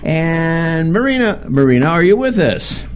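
A man hosting a radio show in casual, jokey banter, halting and repeating himself as he goes. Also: a little high, very animated, background noise. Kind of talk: casual on-air banter and guest introduction.

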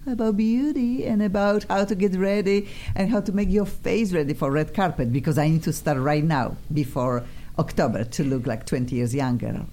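A woman with a Polish accent hosting a casual studio interview, chatty and effusive, flattering her guests. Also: low-pitched, moderate pace, few pauses. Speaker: radio show host. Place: radio studio.

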